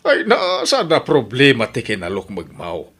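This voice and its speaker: A man's voice: medium pitch, wildly swooping pitch, a little slow, a bit bright, heavily acted. A man with an Ilocano accent playing a flustered older village grandfather in a radio comedy drama, exclaiming and stammering. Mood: affectionate, teasing.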